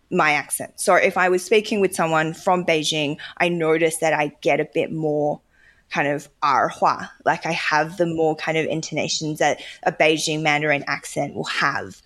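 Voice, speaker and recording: Low-voiced woman, thoughtful. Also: a bit bright. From Australia. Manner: thoughtful, hedging with "kind of". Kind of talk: casual discussion.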